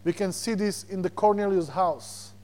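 A man from Slovakia with a Slovak accent, preaching a sermon as a guest preacher, animated and repeating words as he searches for them. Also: high voice, moderate pace. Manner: animated, repeats words when searching, chuckles between points.

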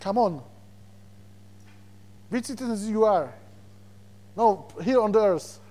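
A man from Slovakia with a Slovak accent, preaching a sermon as a guest preacher, animated and repeating words as he searches for them. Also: high voice, slow. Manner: animated, repeats words when searching, chuckles between points.

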